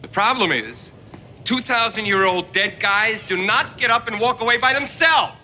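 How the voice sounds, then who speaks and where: A man's voice: sits high, expressive, normal speed, sharp tone, slight background noise, full character voice. An actor playing an exasperated male police detective in a film, dry and irritated. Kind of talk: film dialogue.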